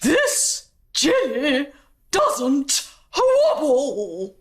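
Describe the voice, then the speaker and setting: A woman's voice: sits high, wildly swooping pitch, pitched above the performer's own voice, full character voice. A woman voicing a spoilt, bossy little princess, hysterical and imperious, drawing out her words in a storybook reading. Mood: horrified, hysterical. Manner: shrieking, imperious, drawn-out words.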